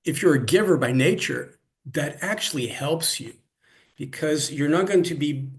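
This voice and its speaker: A man's voice: a little high, moderate pace. A man who grew up in Canada, speaking as a guest in a casual interview, thoughtful and often restarting his sentences. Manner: thoughtful, many restarts and repeated words. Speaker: interview guest, cross-cultural coach. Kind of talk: conversational interview.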